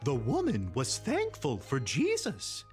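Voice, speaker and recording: Kindly cartoon owl: unhurried hooting singsong, wildly swooping pitch, for a children's show. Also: wildly swooping pitch, darkish tone, soft background music. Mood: cheerful, teaching. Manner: singsong, rhyming, deliberate. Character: kindly wise owl storyteller named Ollie, hooting, singsong. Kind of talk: children's Bible show character acting.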